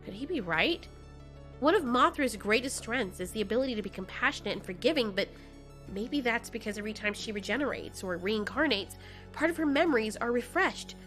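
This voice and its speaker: A woman's voice: on the high side, animated, a little fast, a bit bright, soft background music. A woman narrating a dramatic fan fiction story as its storyteller.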